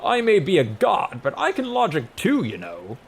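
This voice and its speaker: A man voicing a brash, towering male god of rocks in an audiobook, with a booming, pompous yet casual manner. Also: huge pitch swings, moderate pace, gravelly, a little hiss or hum, heavily acted. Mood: proud.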